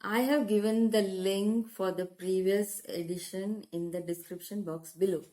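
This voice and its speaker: Indian-accented woman, patient language teacher, slow deliberate delivery, mid-pitched. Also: fairly even intonation, warm tone. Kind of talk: spoken English lesson.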